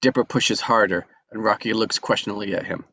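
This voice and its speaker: A man reading the stage directions at a live script table read, steady and measured. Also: lowish voice, dry recording.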